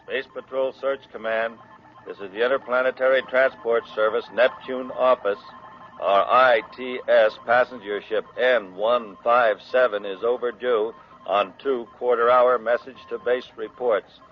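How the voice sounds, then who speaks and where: An actor playing a businesslike space traffic supervisor, reporting in a clipped, official way in a 1950s radio serial. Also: male voice, mid-range voice, fairly even intonation, a little slow, natural voice. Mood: formal, concerned. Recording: dull vintage radio, faint music behind.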